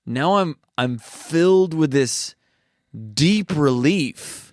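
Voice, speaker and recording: A young man on a podcast, casually telling a story in an animated way and quoting what others said. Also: a little high, takes it slowly, no room sound. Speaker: podcast co-host, comedian. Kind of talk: casual chat.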